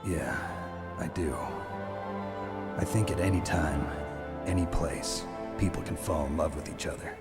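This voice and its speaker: An actor playing a gruff, terse, battle-hardened soldier in a video game, with a gravelly voice. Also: male voice, extremely low pitch, unhurried, long pauses. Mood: stoic, low. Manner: terse, deadpan. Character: gruff, stoic battle-hardened male soldier on a covert mission. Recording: loud music under the voice. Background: loud cinematic music.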